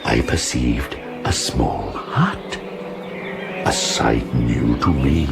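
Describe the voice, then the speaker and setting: An actor playing an articulate, sorrowful outcast monster with a gravelly voice, telling his tale in formal archaic speech in a radio drama. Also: a bass voice, animated, very slow, exaggerated voice. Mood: wondering. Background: music.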